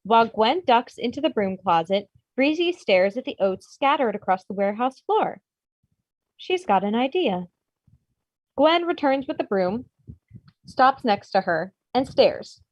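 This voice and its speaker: A woman's voice: fairly high pitch, sing-song, a little slow, a little mellow, dry recording, dull audio. Close, dry-sounding woman reading stage directions. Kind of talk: scripted narration of a comedy screenplay.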